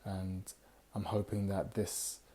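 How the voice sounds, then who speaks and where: A man with a low, mellow voice that barely rises or falls talks through a book review. He sounds tired and a little raspy, and he rambles along with fillers, pausing now and then to read passages aloud. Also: monotone. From United Kingdom. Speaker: book club host.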